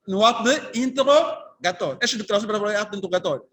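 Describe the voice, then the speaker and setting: Angolan guy, judge lecturing, high voice, chatty, keeps asking the class questions. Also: rapid-fire, a bit bright. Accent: Angolan Portuguese. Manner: conversational, anecdotal, asks the class rhetorical questions. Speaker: judge and trainer. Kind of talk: informal lecture to trainee magistrates.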